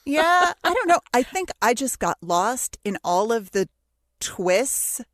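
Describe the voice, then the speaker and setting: A woman's voice: fairly high pitch, big pitch swings, slow, no echo, clean studio sound. A woman co-hosting a podcast with a sardonic manner, in scripted recap and casual chat. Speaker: podcast co-host.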